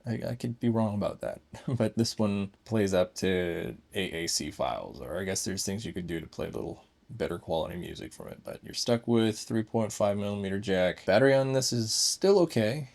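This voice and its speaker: A man reviews gadgets casually and without a script. His voice sits on the low side with plenty of gravelly fry. He thinks out loud as he goes, in short, broken phrases. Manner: thinking aloud in short fragments. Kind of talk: casual unscripted hands-on review.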